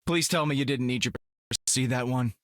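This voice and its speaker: An actor playing a cocky, sarcastic space hero in a video game, casual and wisecracking. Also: male voice, mid-range voice, fairly quick, dry recording, clean studio sound, close to an everyday voice.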